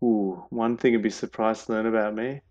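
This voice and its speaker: A man's voice: fairly low pitch, fairly even intonation, a little fast, darkish tone. A man being interviewed on a podcast, talking enthusiastically and restarting words as he goes. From Australia. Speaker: guest, innovation expert. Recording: dull lo-fi sound.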